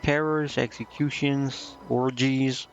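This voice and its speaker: Man voicing female succubus, earnest, curious, musing, medium-pitched, game dialogue, faintly muffled with music underneath. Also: little pitch movement, takes it slowly. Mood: halting, reflective. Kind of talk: reading video game dialogue aloud.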